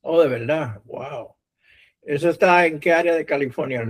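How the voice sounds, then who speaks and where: A man's voice: a little high, normal speed, slightly rough. A man from Puerto Rico with a Puerto Rican accent, a guest teacher opening a class on a video call, joking about himself. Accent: Puerto Rican Spanish.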